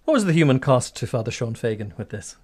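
A man's voice: medium pitch, very animated, fast, darkish tone, slightly rough. A man with an Irish accent presenting on radio, moving between scripted links and interview questions.

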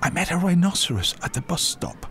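Man doing an eager little schoolboy, pitched up. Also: very animated, brisk, slight background noise. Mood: earnest, excited. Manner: bright, eager, keen questioning. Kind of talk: children's storybook character voice.

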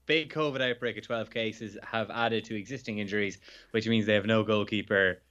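An Irish man, a sports journalist, chatting as a radio guest, slightly croaky and hungover, with quick laughs and restarts. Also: lowish voice, steady tone, a bit bright, lo-fi sound. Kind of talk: radio phone-in chat.

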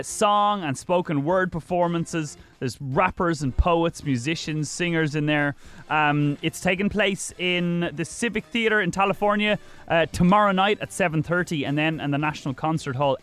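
A man's voice: a little high, fairly bright tone, clean tone. A man hosting a radio interview in a studio, chatty, with stumbling restarts. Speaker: radio host.

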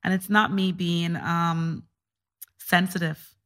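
A woman's voice: on the low side, flat delivery, slow pace, fairly bright tone. A woman from the Caribbean with a West Cork accent, a guest talking earnestly and searching for words. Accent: West Cork Irish. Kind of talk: casual chat.

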